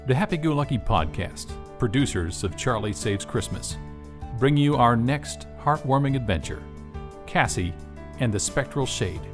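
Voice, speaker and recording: A man's voice: medium pitch, animated, normal speed, music in the background. A man reading scripted trailer narration as an announcer. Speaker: promo announcer.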